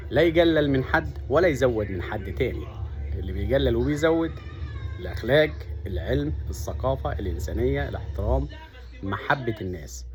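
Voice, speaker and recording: Fast-talking Upper Egyptian man. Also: on the low side, a little mellow. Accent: Upper Egyptian (Sa'idi) Arabic. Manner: earnest, emphatic, lists points one by one. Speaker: vlogger. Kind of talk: casual monologue to camera.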